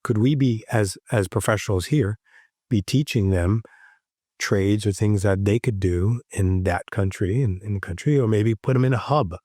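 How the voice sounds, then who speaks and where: A man's voice: lowish voice, normal speed, warm tone, no echo, studio quality. A man from Haiti on a roundtable panel, making an earnest case with rhetorical questions. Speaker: physician panelist. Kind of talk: roundtable discussion.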